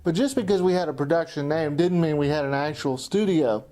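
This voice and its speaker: A man's voice: on the high side, fairly quick, background noise. A man narrating a personal look back as a documentary storyteller and amateur filmmaker.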